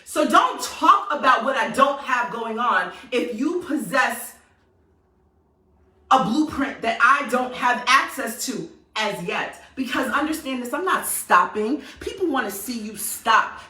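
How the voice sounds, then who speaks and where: A woman's voice: on the high side, measured pace, reverberant, far from the mic, poor audio. A woman from New York with a New York accent, ranting passionately and sassily straight to her audience with emphatic repetition. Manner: animated, sassy, emphatic repetition. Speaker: vlogger. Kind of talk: passionate direct-to-camera rant.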